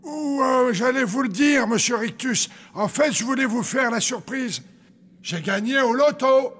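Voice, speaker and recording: A man's voice: extremely high pitch, measured pace, heavily acted. A man playing a good-natured, obliging and sheepish cartoon fellow. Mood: modest. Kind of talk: animated cartoon dub acting. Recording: loud music behind.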